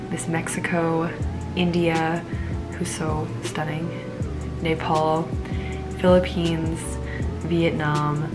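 A young woman with a stuffed-up cold, reviewing a pageant in a bubbly, rambling, casual vlog to camera from a small room. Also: low voice. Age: young adult. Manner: bubbly, frequent "like", rambling asides. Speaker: pageant vlogger. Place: small indoor room at home.

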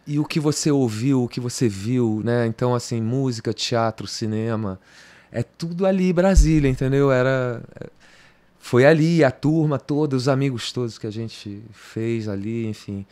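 A man's voice: medium pitch, moderate pace, warm tone. Rambling middle-aged Brazilian man reminiscing. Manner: rambling, restarts, slangy "cara", "entendeu?". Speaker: podcast guest, guitarist. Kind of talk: casual interview talk. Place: home music studio, indoors. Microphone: studio mic on boom arm.

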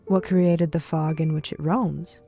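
A composed broadcast host, played by a woman, speaks in a slightly low voice, formal and careful. Faint music sits behind her polite delivery in this dystopian audio drama. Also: steady tone, measured pace, mellow. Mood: inquiring. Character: composed dutiful daughter hosting a dystopian broadcast.

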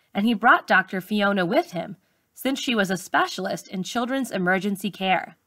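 Bright-voiced woman reading a book aloud. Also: mid-range voice, no room sound, studio quality. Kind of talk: audiobook-style narration of a memoir.

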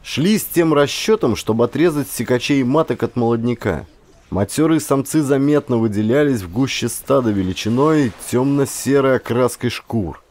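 A man narrating a historical adventure audiobook as a scripted, expressive dramatic storytelling. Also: medium pitch, moderate pace. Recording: clear ambient sound effects behind voice. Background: ambience sound effects.

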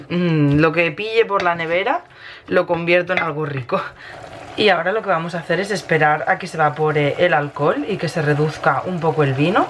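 A woman in her thirties with a Spain Spanish accent, slightly hoarse from a cold, casually narrating a recipe as she cooks in her home kitchen. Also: lowish voice, fairly bright tone. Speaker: home cooking vlogger. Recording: noisy kitchen with sizzling pan. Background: frying and stirring sounds.

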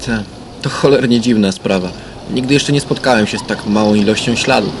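An actor playing a world-weary, blunt police detective talking colloquially in a crime radio drama. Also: male voice, lowish voice, brisk, slight background noise, close to an everyday voice. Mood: wry, blunt.

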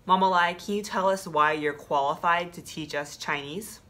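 A woman's voice: lowish voice, normal speed, very noisy. A woman in her thirties with an American accent, playfully hosting a casual language lesson and chatting. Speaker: host and learner. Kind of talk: casual scripted-light language lesson chat. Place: small plain room indoors.

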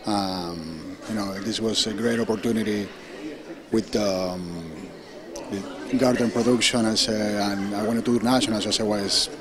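A man's voice: on the low side, steady tone, normal speed, a crowd in the background. A man from Argentina, a bodybuilding winner, answering briefly and upbeat on a big stage. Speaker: contest winner. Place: large indoor stage with audience.

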